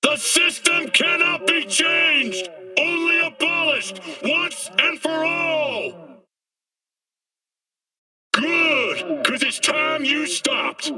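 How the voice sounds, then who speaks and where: High, shouting doomsday street prophet. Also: male voice, animated, recorded from a distance, faint music behind, heavily acted. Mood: rousing, defiant. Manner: declamatory, haranguing, drawn-out emphasis. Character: fiery paranoid anti-corporate male doomsday street prophet. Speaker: street agitator, conspiracy preacher. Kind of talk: ranting protest speech, video game acting.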